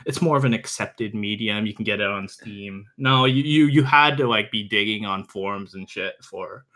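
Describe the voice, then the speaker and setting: A man's voice: fairly low pitch, normal speed, pushed and bright. A man in his twenties, a podcast guest, explaining things casually and informally, often repeating words as he goes. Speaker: podcast guest, visual novel reviewer. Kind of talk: casual chat, explaining and recommending.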